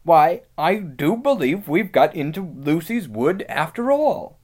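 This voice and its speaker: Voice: medium pitch, very animated, a little slow, a little mellow, pitched above the performer's own voice. A storybook narrator voicing a hearty, decisive schoolboy, the eldest brother.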